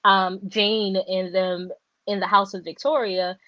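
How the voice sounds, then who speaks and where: A woman's voice: medium pitch, measured pace, no echo. Rambling woman on a dull lo-fi call. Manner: rambling, animated, many fillers and restarts. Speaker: book discussion co-host. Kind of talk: casual book chat on a live stream. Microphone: video call. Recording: dull, dry lo-fi sound.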